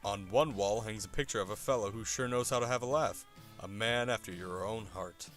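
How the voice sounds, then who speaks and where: A man with a slightly low voice narrates a web comic. His delivery is theatrical and wry, with faint music underneath. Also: a little fast. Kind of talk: dramatic scripted narration of a comic.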